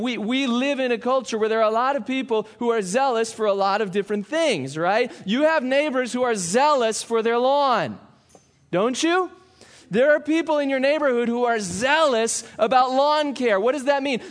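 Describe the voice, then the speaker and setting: A man with a fairly high voice gives a Sunday sermon. He reads scripture in a slow, measured way, then moves into relaxed, conversational teaching with asides to the congregation. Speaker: pastor.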